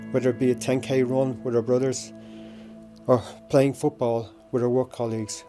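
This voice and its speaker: A man in his sixties with a Dublin Irish accent gives a personal memorial tribute in a measured, reminiscing way. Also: medium pitch, mellow. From Dublin, Ireland. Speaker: bereaved brother giving a tribute.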